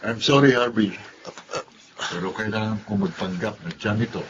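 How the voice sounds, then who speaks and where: A man's voice: lowish voice, normal speed, fairly bright tone, dull audio, a little hiss or hum, close to an everyday voice. A man playing a composed young company boss and heir in a radio drama. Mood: stern, authoritative.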